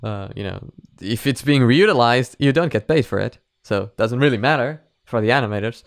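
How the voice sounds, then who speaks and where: A man's voice: medium pitch, expressive, brisk, creaky. A man giving casual, sweary, exclamatory reaction commentary. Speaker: reaction video host.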